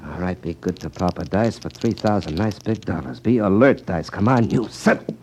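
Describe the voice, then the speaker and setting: An American actor playing a cocky, protective brother who talks smooth, sing-song patter in 1950s radio drama style. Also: male voice, low voice, moderate pace, a little mellow, a little hiss or hum, natural voice. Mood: eager, coaxing. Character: cocky male dice shooter, protective brother, coolly taunting.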